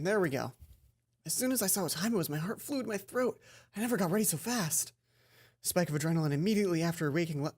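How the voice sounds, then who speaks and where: A man's voice: high-pitched, darkish tone. A man doing a let's play, reading visual novel narration expressively and adding casual commentary. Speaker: let's player. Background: game music.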